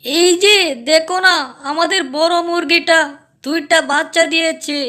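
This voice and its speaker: A woman voicing a fussy, excitable tiger's wife in an animated cartoon. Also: extremely high pitch, moderate pace. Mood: excited calling. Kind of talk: animated storybook cartoon dialogue.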